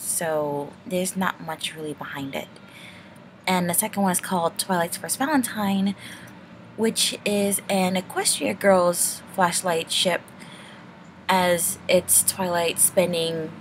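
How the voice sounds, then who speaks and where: A woman's voice: lowish voice, slight background noise. A young woman giving a casual, rambling channel update in a hesitant, apologetic, nervous way. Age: young adult.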